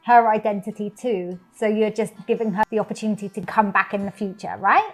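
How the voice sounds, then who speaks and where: A woman's voice: mid-range voice, fairly even intonation, brisk, faint music behind. A British woman hosting a casual vlog, upbeat and laughing as she questions a friend. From Britain. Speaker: vlogger host.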